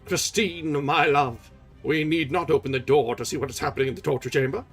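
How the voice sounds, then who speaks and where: A man voicing a furious, menacing monster of a man in a loud, snarling voice for a storybook reading. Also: fairly high pitch, fairly even intonation, a little fast, husky, faint music behind, pitched above the performer's own voice. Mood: gloating. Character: furious menacing male monster, jealous captor.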